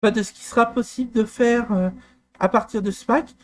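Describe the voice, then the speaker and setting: A man from France talking through a software walkthrough, hesitant with frequent fillers and restarts. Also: very high-pitched, fairly even intonation, a little fast. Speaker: software tutorial presenter. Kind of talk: screen-recorded walkthrough.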